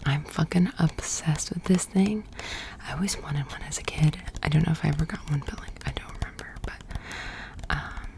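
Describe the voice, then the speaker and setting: American girl doing asmr, low whispery voice, super casual and chatty. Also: normal speed, gravelly. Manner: casual, chatty, informal with swearing. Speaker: ASMR creator. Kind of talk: soft-spoken whispered ASMR roleplay.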